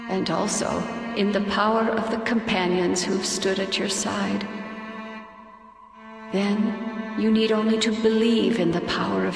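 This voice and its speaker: An actress playing a solemn, gently wise ghostly former goddess in a game cutscene, speaking in a measured way. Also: female voice, medium pitch, gravelly. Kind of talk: scripted game cutscene acting. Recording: long cavernous reverb, music behind.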